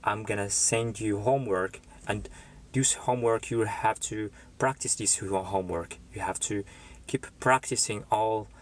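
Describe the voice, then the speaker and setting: A man hosts his first live stream as an English tutor. His voice sits low and his delivery is slow and unhurried, full of small pauses and fillers as he reads the chat and greets viewers by name. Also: steady tone. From Morocco.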